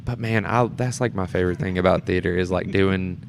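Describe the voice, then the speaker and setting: A man's voice: sits low, a little fast, creaky, slight background noise. A man talking casually as a guest in an informal conversation. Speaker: community theatre performer, podcast guest. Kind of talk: casual chat.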